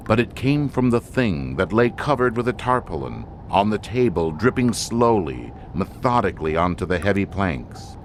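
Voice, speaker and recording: A man narrating a radio horror drama in an old-time suspense style, grave, deliberate and dramatic. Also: sits low, a little hiss or hum. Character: grave, ominous male narrator of a horror tale. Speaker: announcer and narrator.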